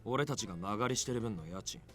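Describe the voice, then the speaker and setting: A man's voice: lowish voice, animated, racing, fairly bright tone. An actor voicing a male character in a Japanese visual novel game. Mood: gruff, curt. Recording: game music behind.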